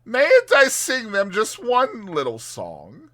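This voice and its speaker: A man voicing a conceited, theatrical gentleman toad with a pompous, melodramatic manner, in a dramatized audiobook. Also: sits high, wildly swooping pitch, slow pace, voice pushed up, exaggerated voice. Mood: pleading. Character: conceited male toad, swaggering, theatrical, self-pitying gentleman.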